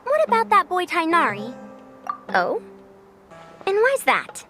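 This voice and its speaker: Proud game scholar, high pitch, lively swoops, pompous, old-fashioned, self-assured. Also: female voice, slow, soft background music, full character voice. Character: proud, seasoned woman scholar, old-fashioned senior expert. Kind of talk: anime game dubbing.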